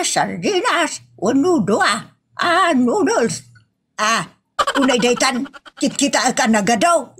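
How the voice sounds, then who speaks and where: A woman acts out a grasping poor village woman with an Ilocano accent in a comedy audio drama. Her voice sits fairly high with a husky edge, and she pleads and whines at an unhurried pace. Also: a bit bright, exaggerated voice.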